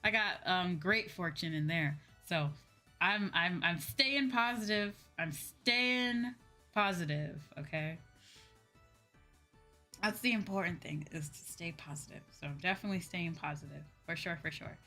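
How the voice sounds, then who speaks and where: A woman's voice: fairly low pitch, measured pace, sharp tone. A woman talking casually to viewers on a livestream, informal and conversational, peppering her talk with "like" and "you know". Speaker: VTuber livestream host. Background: background music.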